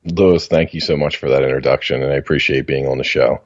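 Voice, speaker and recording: Deep-voiced Baltimore man in his forties, relaxed guest, dry muffled sound. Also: fast, no echo. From Baltimore, Maryland, USA. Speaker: guest, house flipper. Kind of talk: casual interview talk.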